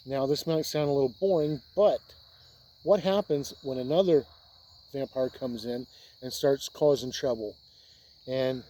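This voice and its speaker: An American man from Indiana hosting a video, talking casually and explaining ideas in halting, unhurried phrases with pauses. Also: mid-range voice, dark tone. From Indiana, United States. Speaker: host of a tabletop role-playing video channel. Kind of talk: casual explainer talk to camera.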